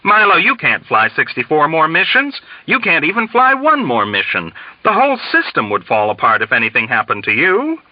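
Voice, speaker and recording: A man's voice: a little high, huge pitch swings, normal speed, hardly any pauses, no room sound, muffled recording, pitched above the performer's own voice. A man voicing a blustering, scheming military colonel in an audiobook, exclamatory and pompous. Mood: frantic, despairing. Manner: exclamatory, sententious, emphatic. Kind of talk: dramatized audiobook reading.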